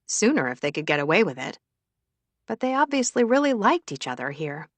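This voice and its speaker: A woman's voice: on the low side, big pitch swings, fairly quick, no room sound. A woman reading a romance novel aloud as a scripted audiobook narrator.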